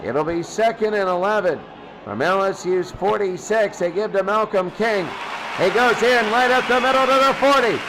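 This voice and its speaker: High-pitched man calling football play-by-play. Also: moderate pace, a crowd in the background, background noise. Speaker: play-by-play commentator for a video game football stream. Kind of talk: sports play-by-play commentary.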